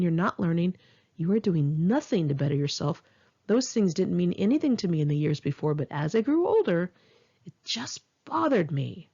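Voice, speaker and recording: Woman narrator for a personal memoir: lowish, husky voice, frank and emphatic delivery, on a slightly muffled home recording. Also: mellow. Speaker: author reading own memoir. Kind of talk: scripted memoir narration for an audiobook, read in one take.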